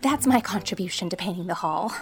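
A woman's voice: medium pitch, very animated, unhurried, vocal fry, a bit bright, faint music behind, heavily acted. A woman voicing a timid but cheerful older spinster, mild, earnest and hopeful, in an audiobook story. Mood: eager, hopeful. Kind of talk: audiobook character dialogue.

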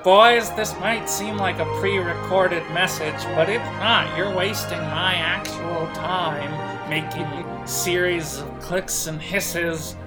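A man voicing an imperious, scolding handler in a drawn-out, theatrical manner for an audio role-playing game. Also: high-pitched, fairly even intonation, over loud music, pitched above the performer's own voice, poor audio. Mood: impatient, scolding. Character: imperious theatrical French handler speaking through phonograph record.

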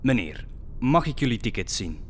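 A man's voice: medium pitch, very animated, normal speed. Man playing a stern, formal airline steward. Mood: firm. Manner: formal, firm. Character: stern, formal male airline crew member, authoritative. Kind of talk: audio drama acting.

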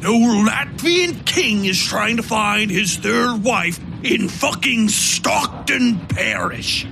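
A man voicing a bitter, drunken aristocrat who lectures and rants with a sneer, sometimes breaking into shouts. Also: high-pitched, lively intonation, slow pace, gravelly, pitched above the performer's own voice, full character voice. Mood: drunken scorn. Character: drunk, bitter, ranting male aristocrat theorist. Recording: music bed underneath.

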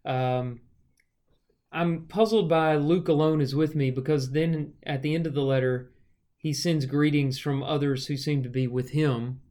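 A man teaching the Bible in a conversational, measured way, moving between explanation and reading verses aloud. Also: a little high, steady tone, mellow. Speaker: Bible study podcast host and teacher.

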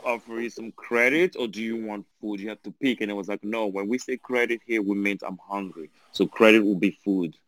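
A man's voice: lowish voice, fairly even intonation, fairly quick, few pauses, fairly bright tone, no echo, low-quality recording. A man from Belgium and France with a French accent, chatting casually and jokingly as a podcast guest. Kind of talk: casual chat.

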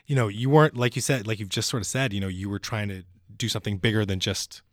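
A man's voice: on the low side, fairly even intonation, fast, dry recording. Friendly male podcast host, dry sound. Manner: friendly, with many fillers and affirmations. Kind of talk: casual interview.

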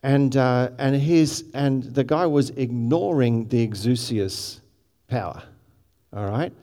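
A man's voice: mid-range voice, a little mellow, in a large hall. A man with an Australian accent preaching a sermon, chatty with many restarts and asides to the congregation. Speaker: pastor.

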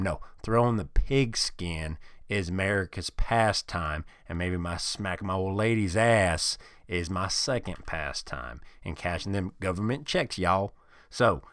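A man voicing a crude, boastful, middle-aged couch football fan who rants loudly and swears a lot on a podcast. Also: fairly low pitch, no echo, studio quality. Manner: loud, swaggering, profane ranting. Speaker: podcast host in character. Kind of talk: improvised comedy character monologue.

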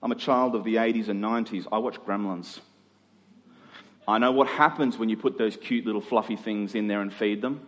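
A man's voice: lowish voice, brisk, lo-fi sound. A middle-aged man preaching in a conversational expository style, using rhetorical questions and emphatic repetition. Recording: long hall reverb.

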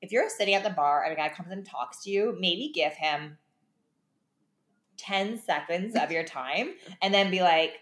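A young woman with a deeper, husky voice, talking bluntly in a casual podcast chat and laughing often. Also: moderate pace, fairly bright tone. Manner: blunt, laughs often, uses mild profanity. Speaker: podcast co-host. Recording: echoey room.

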